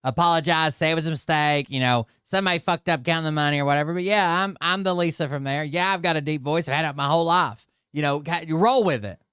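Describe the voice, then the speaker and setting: A man's voice: fairly high pitch, fast, hardly any pauses, a bit bright, clear, resonant tone, dry recording, studio quality. A man giving casual, blunt and profane commentary during a live stream.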